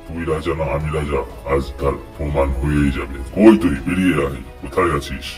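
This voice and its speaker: A pompous cartoon tiger with an extremely low voice. The beast is hot-headed and boastful, blustering out commands like a self-declared king, with light music underneath. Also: steady tone, brisk, a little mellow. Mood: angry challenge. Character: boastful, hot-headed male tiger claiming jungle kingship. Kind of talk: children's cartoon voice acting in Bengali fable.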